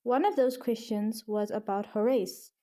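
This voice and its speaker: South african woman reading her video essay, warm mellow tone, bit high. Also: steady tone, normal speed. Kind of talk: scripted narration.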